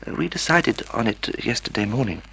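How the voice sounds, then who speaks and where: A husky, stammering, timid older gentleman. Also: medium pitch, expressive, fast, heavily acted, poor audio. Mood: polite, hesitant. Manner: hesitant, stammering. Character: timid, half-blind, wealthy retired older husband, anxious and polite. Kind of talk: old-time radio drama acting. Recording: vintage radio drama recording.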